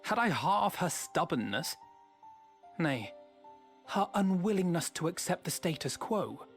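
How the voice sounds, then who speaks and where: A man's voice: high-pitched, very animated, a little slow, exaggerated voice. Young male game scholar: earnest, refined, eloquent archaic speech, light music behind. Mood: admiring, reflective. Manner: formal, eloquent, archaic diction. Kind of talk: scripted video game cutscene acting.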